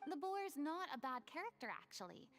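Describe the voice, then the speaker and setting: A woman playing a thoughtful young woman in a video game, gentle and measured. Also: sits high, lively intonation, over music. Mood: composed. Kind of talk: video game character acting.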